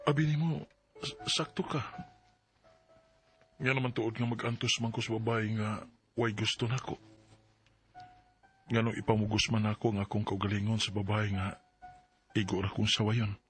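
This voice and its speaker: A man's voice: lowish voice, steady tone, normal speed, pauses often, fairly bright tone, lightly acted. An actor playing a sad, self-doubting young man in a radio drama, plaintive and hesitant, with a Bisaya Filipino accent. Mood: tender. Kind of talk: sentimental radio drama acting. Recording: music under the voice.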